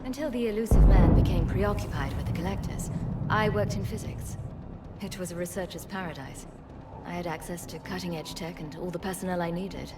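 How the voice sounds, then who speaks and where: An actress playing a former research scientist leading refugees, speaking in a measured, earnest way. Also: female voice, fairly low pitch, loud music behind the voice, lightly acted. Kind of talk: video game voice acting.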